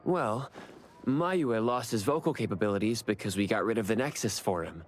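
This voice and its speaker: Low, earnest young man voice-acted. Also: normal speed, slight background noise, natural voice. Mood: reflective. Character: earnest, reserved young man who takes commissions. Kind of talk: anime game voice acting, conversational.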